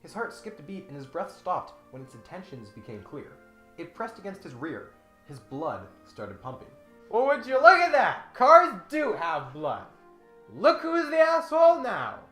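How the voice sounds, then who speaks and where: Male host, sarcastic, mock-serious, lively swooping intonation, comic reading and commentary, roomy echo. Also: high-pitched, unhurried, faint music behind.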